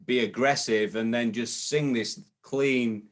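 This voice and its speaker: A man's voice: medium pitch, fairly even intonation, unhurried. Hesitant man, guest chat. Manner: hesitant, lots of ums and repeated starts. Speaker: guest, booking agent. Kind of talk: casual interview chat.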